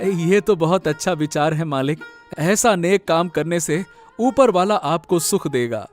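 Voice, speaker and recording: Meek worried village worker in a cartoon, highish voice, polite, bit of music behind. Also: male voice, animated, a little slow. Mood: worried, respectful. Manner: deferential, hesitant. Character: humble, worried village servant man, devoted son. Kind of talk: Hindi cartoon family drama.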